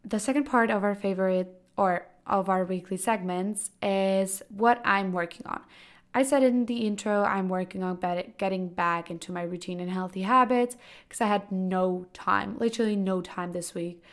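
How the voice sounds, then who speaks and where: A young woman in her late teens with a raspy, hoarse voice from a cold, hosting a casual solo podcast in a loose, chatty way. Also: mid-range voice, steady tone, moderate pace. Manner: chatty, loose, full of "like" and "kinda". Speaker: podcast host.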